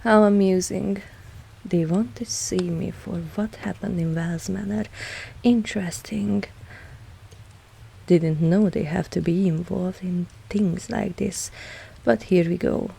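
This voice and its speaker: Woman playing a husky, slow-spoken vampire mistress. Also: steady tone, full character voice, poor audio. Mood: cool, amused. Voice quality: husky, low, breathy at times. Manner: slow, measured, softly spoken. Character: ancient vampire mistress, composed, dominant, wry, fond of her pet. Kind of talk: intimate ASMR audio roleplay.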